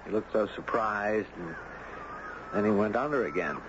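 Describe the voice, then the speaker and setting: Man acting easygoing beach guy in a 70s radio play, measured chatty storyteller, dull sound w/ lots of hiss. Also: fairly low pitch, close to an everyday voice. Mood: storytelling. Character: easygoing, puzzled man, beach-going dog owner and storyteller. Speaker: actor narrating and playing the lead. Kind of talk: 1970s radio drama acting. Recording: dull, noisy old radio broadcast.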